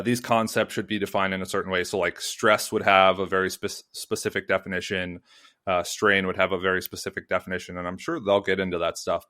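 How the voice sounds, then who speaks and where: A man's voice: low-pitched, fairly bright tone. A man hosting a podcast, introducing the topic and guests in a rambling way full of fillers and restarts. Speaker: podcast host. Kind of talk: podcast interview.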